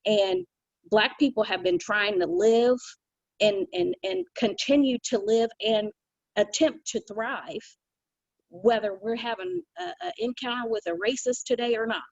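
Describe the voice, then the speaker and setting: A woman's voice: on the high side, lively intonation, a little slow, dry recording. Earnest woman on a dull video call. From United States. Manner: earnest, thoughtful, many restarts and "you know". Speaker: community call participant. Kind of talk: casual reflective talk on a video call.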